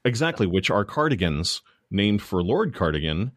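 An American man hosting a casual comedy interview, feeling his way haltingly through rambling questions. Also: lowish voice, big pitch swings, dry recording, studio quality. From United States. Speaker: show host, interviewer.

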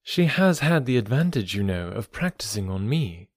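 A man's voice: medium pitch, very animated, normal speed, a little mellow, no room sound, studio quality. Emma, a man voicing a witty young woman. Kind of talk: audiobook dialogue reading.

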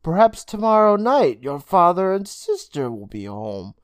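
A reader voicing a formal, measured man who talks slowly and stiffly. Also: mid-range voice, very animated, no room sound, studio quality, voice pushed up. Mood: calm. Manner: slow, stiff, deliberate.

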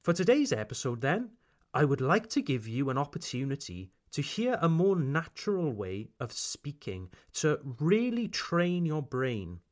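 Welsh man with a lively teaching voice. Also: fairly high pitch. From Wales. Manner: slow and carefully articulated. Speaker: English teacher and podcast host. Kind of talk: podcast lesson for learners.

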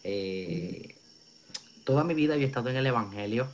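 A man's voice: mid-range voice, animated, normal speed. A young man in his twenties with a Puerto Rican accent, giving his testimony as an evangelist over a video call. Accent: Puerto Rican Spanish. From Puerto Rico. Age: 20s. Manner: earnest, preacherly, many fillers. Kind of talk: testimony in an interview. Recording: dull video call audio, faint noise.

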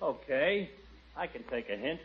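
An actor playing a loutish, defensive henpecked husband in 1940s radio comedy, slangy and indignant. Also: male voice, medium pitch, big pitch swings, unhurried. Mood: sulky. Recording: muffled old radio recording.

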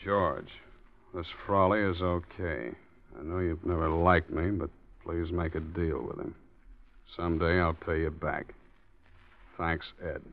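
A man's voice: sits low, fairly even intonation, unhurried, vocal fry, pauses often. An actor playing a wary middle-aged man who weighs every word, in a muffled old radio drama. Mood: guarded. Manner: guarded, hesitant, clipped replies. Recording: muffled 1940s radio recording.

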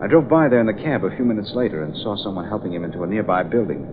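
An actor playing a menacing foreign saboteur with formal, stilted phrasing in a 1940s radio drama. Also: male voice, on the low side, animated, racing, natural voice. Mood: defensive. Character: menacing foreign saboteur gunman, impatient underling. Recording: muffled, noisy old radio recording.

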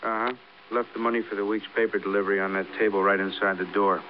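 An actor playing a matter-of-fact police detective with an American accent, giving clipped, businesslike reports in a 1950s radio drama. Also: male voice, fairly low pitch, level tone, fast talker, close to an everyday voice. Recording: muffled vintage radio, faint noise.

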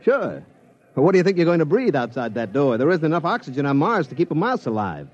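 An actor playing a breezy, fast-talking hustler in 1950s radio drama style, full of streetwise slang. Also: male voice, on the high side, very animated, darkish tone. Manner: streetwise slang, breezy wise-guy patter. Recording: dull old radio recording.

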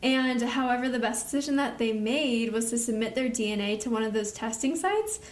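A woman's voice: a little high, fairly quick. A young woman co-hosting a podcast, chatting casually with lots of fillers and casual swearing. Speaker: podcast co-host. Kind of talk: casual chat. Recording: echoey room.

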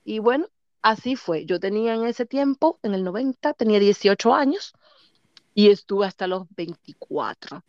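A woman in her fifties with a Dominican Spanish accent, a guest telling lively stories and laughing often. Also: mid-range voice, normal speed, no room sound, dull audio. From Dominican Republic. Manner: lively, laughs often, acts out other people's lines. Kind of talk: casual storytelling interview.

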